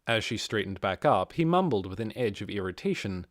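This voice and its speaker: A man's voice: lowish voice, very animated, brisk, dry recording, studio quality. A man reading fantasy fiction aloud as an audiobook narrator, in a scripted storytelling style.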